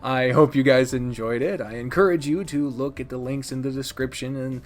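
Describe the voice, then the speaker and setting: A man's voice: mid-range voice, steady tone, normal speed, slight background noise. A man hosting a casual countdown review of video games, rambling and informal, swearing freely and speaking with emphatic opinions. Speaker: video game reviewer and channel host. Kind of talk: casual countdown review commentary, partly scripted.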